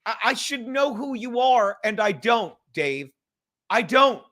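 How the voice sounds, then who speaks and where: High-pitched, rambling, foul-mouthed man. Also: sing-song, unhurried, pushed and bright. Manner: rambling, self-deprecating, swears freely. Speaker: livestream host. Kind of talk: casual profane livestream chat.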